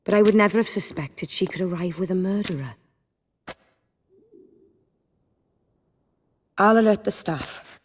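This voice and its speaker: A woman in a video game playing a worldly, self-assured aristocratic lady who speaks in measured, elegant, formal sentences. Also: medium pitch, dry recording, close to an everyday voice. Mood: cool composure.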